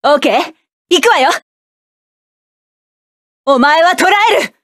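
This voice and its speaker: A fierce, high-voiced mech pilot. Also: female voice, takes it slowly, no room sound, studio quality. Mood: commanding. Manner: commanding, defiant battle shouts. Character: fierce proud female mech pilot, young adult. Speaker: mecha pilot character. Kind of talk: video game battle voice lines.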